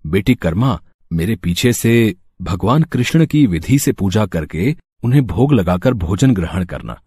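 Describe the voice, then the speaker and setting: A man's voice: fairly low pitch, animated, measured pace, darkish tone, husky, no echo, studio quality. A man playing a devout, affectionate father in a devotional story, speaking in an earnest, reverent way. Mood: gentle, instructing. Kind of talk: Hindi devotional story acting.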